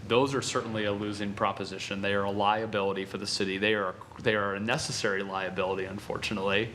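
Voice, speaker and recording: Low-voiced man explaining transit policy. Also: flat delivery, a bit bright, a little hiss or hum. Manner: measured and explanatory, with restarts. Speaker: transit official. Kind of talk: public meeting discussion.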